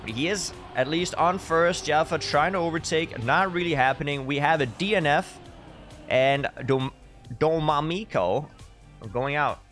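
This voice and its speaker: A man's voice: a little high, unhurried, a bit bright. A man, chatting casually and commentating as a live esports caster, with dry, tongue-in-cheek humour. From Denmark. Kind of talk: casual live stream chat with game commentary. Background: music.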